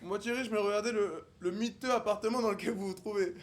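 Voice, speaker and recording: A man's voice: high-pitched, fast, lightly acted. A young man livestreaming, putting on a haughty, snobbish young posh man who mocks strangers in affected formal speech. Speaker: livestreamer on a video chat app. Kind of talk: improvised character roleplay with strangers.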